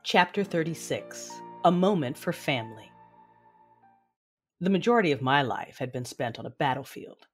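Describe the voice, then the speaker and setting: A woman playing a proud, imperious mother in a sci-fi audio drama, narrating formally and deliberately. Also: low voice, lively intonation, slightly rough, soft background music. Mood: proud, reflective.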